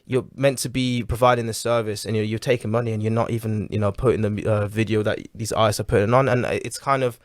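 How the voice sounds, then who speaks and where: A young man hosting a casual interview, animated and repeating himself, swearing now and then. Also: fairly low pitch, fast, few pauses, no echo, clean studio sound. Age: young adult. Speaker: podcast host.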